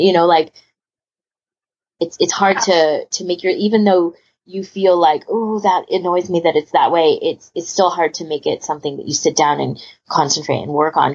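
A woman's voice: lowish voice, darkish tone, breathy edge. A woman chatting casually about a hobby as a podcast panelist, rambling and emphatic, often rephrasing herself mid-sentence. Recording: muffled lo-fi voice recording.

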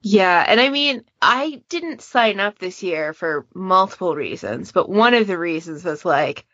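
A woman's voice: on the low side, expressive, measured pace, fairly bright tone, dry recording, muffled recording. A woman chatting casually and informally as a moderator on a podcast panel.

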